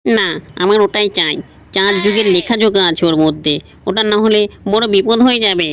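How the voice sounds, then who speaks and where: A man's voice: high voice, moderate pace, a crowd in the background. A man voicing the death god's naive, literal-minded scribe in a comic cartoon dub, earnestly pestering with questions. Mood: puzzled, insistent. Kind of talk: comic Bengali cartoon dubbing.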